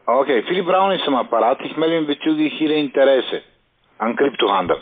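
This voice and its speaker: Fast-talking salesman on tinny phone. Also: medium pitch, expressive. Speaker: telephone sales caller. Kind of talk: sales cold call. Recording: tinny, narrow phone line.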